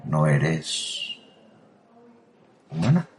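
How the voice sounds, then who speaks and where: A man's voice: very deep voice, big pitch swings, very slow, a little hiss or hum, full character voice. A man from playing a frightened patient in a psychiatric ward who sounds anxious and shaken. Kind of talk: audio drama acting. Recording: roomy echo.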